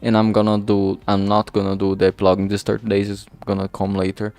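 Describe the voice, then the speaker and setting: A man talking casually and haltingly in a rambling vlog, informal and self-deprecating, with some swearing. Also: lowish voice, completely flat delivery, darkish tone. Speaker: indie visual novel creator and vlog host. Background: background music.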